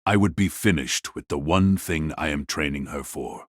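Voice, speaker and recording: Casting: ancient villainous mage, extremely low raspy voice, gloating and sinister, dramatised audiobook. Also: male voice, no room sound, studio quality. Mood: condescending, calculating. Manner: slow, sinister, condescending. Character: wicked old male magus patriarch, cold, mocking, gloating.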